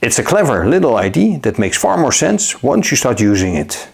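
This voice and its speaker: A man narrating a scripted gadget review in a measured, upbeat way. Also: mid-range voice, very animated, creaky, slight background noise. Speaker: tech reviewer.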